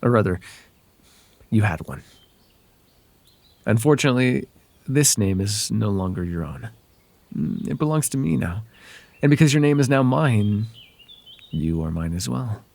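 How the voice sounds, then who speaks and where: A man voicing a whimsical, softly possessive male fairy in an intimate, hesitant, conversational ASMR roleplay. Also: fairly low pitch, animated, a little slow, creaky, long pauses, no echo. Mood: sly, possessive.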